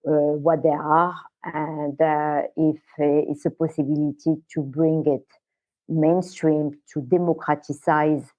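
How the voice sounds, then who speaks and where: An older woman from Morocco, a guest on an interview podcast, talking in a halting way with many fillers. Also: deep voice, little pitch movement, mellow. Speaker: guest, wellness center founder. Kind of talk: casual interview talk. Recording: tinny phone-like line.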